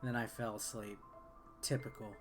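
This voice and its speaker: A man's voice: on the low side, level tone, moderate pace. A man doing casual, offhand gameplay commentary and reading game text aloud, with game music behind him. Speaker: game reviewer and video host.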